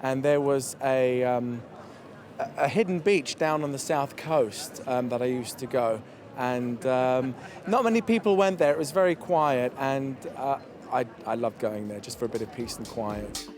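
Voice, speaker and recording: A man interviewed on a London street who speaks thoughtfully, often pausing with fillers as he recalls places. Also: medium pitch, animated, a little mellow. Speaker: passer-by interviewee. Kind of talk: casual chat.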